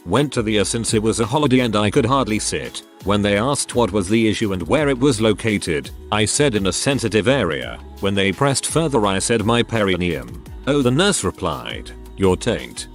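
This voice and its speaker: A man narrating Reddit stories in a steady scripted read. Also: lowish voice, normal speed, hardly any pauses. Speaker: narrator reading Reddit posts. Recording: faint music behind the voice. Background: soft background music.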